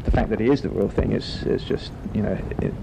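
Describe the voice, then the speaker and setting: A man talking as a film director in a relaxed, wordy, articulate interview. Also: fairly low pitch, a little fast, creaky, noisy background.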